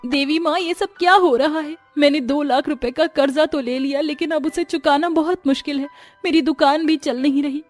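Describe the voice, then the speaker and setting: A woman's voice: high voice, steady tone, moderate pace, soft background music, natural voice. A woman playing a devout, anxious wife in a moral story, speaking in an earnest, imploring way. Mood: desperate. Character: devout, anxious young wife seeking money.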